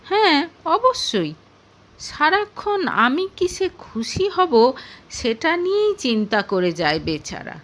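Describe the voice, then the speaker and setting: A woman's voice: high-pitched, very animated, takes it slowly, fairly bright tone, pitched above the performer's own voice, heavily acted. A woman voicing a proud, chatty young married woman confiding in her friend in an audio story. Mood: gushing, proud.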